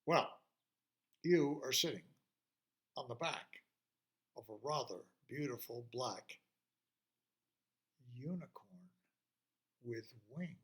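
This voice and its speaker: A man voicing a proud, composed royal father who speaks in deliberate declarations. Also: medium pitch, expressive, a bit bright. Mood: explaining.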